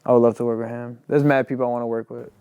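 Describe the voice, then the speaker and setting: A man's voice: mid-range voice, little pitch movement, very fast, smooth, dry recording, phone-quality sound. A young man, a rapper and singer, talking casually in an interview, rambling and filling his sentences with "like". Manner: loose and rambling, heavy on "like".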